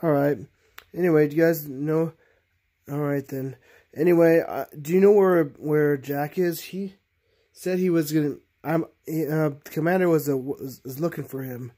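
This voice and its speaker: Halting plush-toy show host played by a man. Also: on the high side, steady tone, dark tone, lightly acted. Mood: chatty, halting. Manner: halting, stammering delivery. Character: plush toy characters chatting and hosting reaction show. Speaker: host of puppet reaction show. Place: bedroom.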